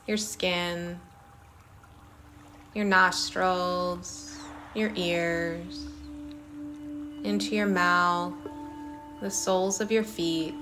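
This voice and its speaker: A woman leading a guided body-scan meditation in a soothing manner, speaking in short phrases with long pauses between them. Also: mid-range voice, a bit bright. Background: singing bowls.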